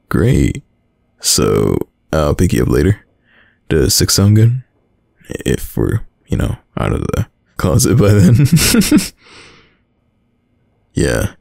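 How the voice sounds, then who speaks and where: A shy, caring young boyfriend voiced by a man in an intimate audio roleplay. He speaks slowly and haltingly in an extremely low voice that swings expressively, stammering like a smitten student. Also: vocal fry, darkish tone, no room sound, studio quality, exaggerated voice. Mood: shy, tender. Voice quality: deep. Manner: hesitant, stammering, nervous chuckles.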